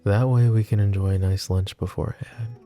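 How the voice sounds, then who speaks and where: A man playing a flirty, possessive mafia boss who is smitten and vulnerable, speaking in soft, teasing, intimate ASMR roleplay. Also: fairly low pitch, steady tone, fairly quick, faint music behind, close to an everyday voice. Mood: wry, affectionate. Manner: soft teasing banter with breathy chuckles.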